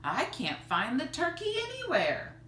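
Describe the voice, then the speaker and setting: A woman's voice: sits high, wildly swooping pitch, a little slow, sharp tone, pitched above the performer's own voice, heavily acted. A woman voicing a fretful family father in a children's storybook, with emphatic, dramatic delivery. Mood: alarmed. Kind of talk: children's storybook read-aloud. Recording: roomy, distant mic, faint noise.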